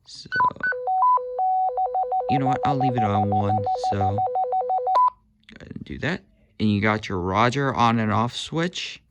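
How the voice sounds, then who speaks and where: A man's voice: on the low side, expressive, unhurried, pauses often, over loud music. A man casually demonstrating walkie-talkies, hesitant, with many fillers and corrections of himself. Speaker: gadget reviewer.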